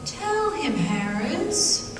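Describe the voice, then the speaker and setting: A woman playing the calm, eerie ghost of a murdered woman, intoning with a lilt in old-time radio horror style. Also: high voice, full character voice. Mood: solemn. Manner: slow, lilting, otherworldly intoning. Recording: cavernous echo, distant, hissy.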